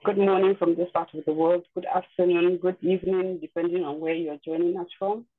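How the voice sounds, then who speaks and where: A woman's voice: on the low side, steady tone, fairly quick, dry recording. A woman speaks as a webinar host in a polite, formal manner, welcoming and introducing. Her voice comes through dry and thin, like a phone line. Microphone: video call. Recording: tinny, phone-like webinar audio.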